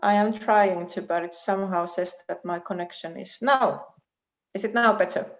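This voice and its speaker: A woman with a Finnish accent giving an academic presentation as a research professor over an online call, speaking haltingly with repeated words. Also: mid-range voice. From Finland. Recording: dull, muffled online call audio.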